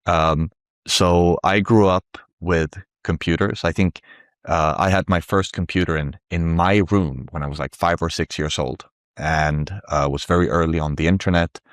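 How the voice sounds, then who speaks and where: Middle aged swedish guy, native swedish speaker, really low voice, dry close sound, chatting thru interview answers. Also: fairly even intonation, moderate pace, dry recording. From Sweden. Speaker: interview guest, tech company founder.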